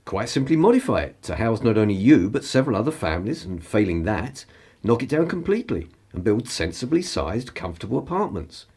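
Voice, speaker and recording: An actor playing a pompous, articulate upper-class political radical in a radio play, with a husky voice. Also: male voice, on the low side, very animated, a little fast, darkish tone, full character voice. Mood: earnest, lecturing. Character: indignant, haughty upper-class male political radical and spy.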